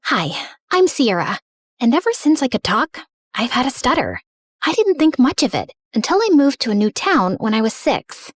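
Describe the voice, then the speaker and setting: A woman's voice: sits high, big pitch swings, moderate pace, no room sound, studio quality. A young woman playing an earnest teenage girl who narrates her own story in a dramatic, scripted style. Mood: wistful. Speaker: narrator of animated stories.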